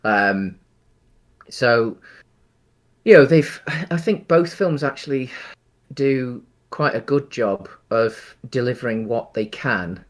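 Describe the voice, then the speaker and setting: A man co-hosting a casual film discussion, speaking in a halting, hesitant way with frequent ums. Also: mid-range voice, animated. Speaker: podcast co-host.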